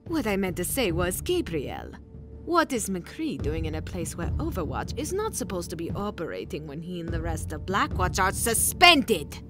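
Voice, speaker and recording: A woman playing a sharp, sardonic veteran military officer in a dramatic comic dub. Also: on the low side, sing-song, normal speed. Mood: sardonic, pointed. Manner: pointed, sardonic. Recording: music behind the voice.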